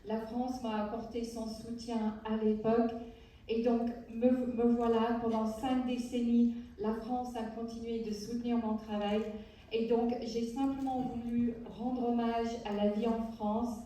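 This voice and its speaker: A woman interpreting a speech on stage, with an even, measured delivery. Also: on the high side. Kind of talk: translating a speech. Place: large cinema hall. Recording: echoey hall, far from mic.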